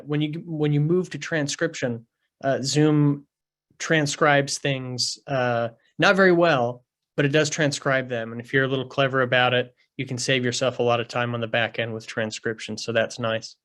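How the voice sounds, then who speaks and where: A man's voice: mid-range voice, fairly bright tone. A man presenting a research study on a panel, with frequent ums and repeated words.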